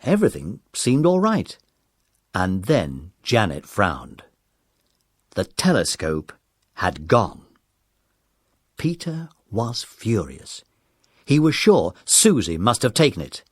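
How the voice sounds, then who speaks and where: Lively man narrating a children's story. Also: fairly low pitch, slow pace, long pauses, slightly rough, dry recording, clean studio sound. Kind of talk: scripted children's audiobook narration.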